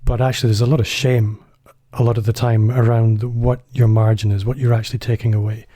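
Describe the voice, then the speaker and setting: Male podcast host, warm dark tone, close dry mic, casual rambling questions. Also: mid-range voice, flat delivery, brisk, no room sound. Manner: chatty, self-deprecating, loose phrasing. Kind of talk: podcast interview.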